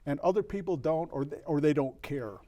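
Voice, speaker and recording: An older man from El Paso, a record store owner, speaking as an interview guest in a reflective, earnest way, with frequent restarts and pauses. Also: a little high, dark tone, muffled recording. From El Paso, Texas. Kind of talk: candid interview conversation.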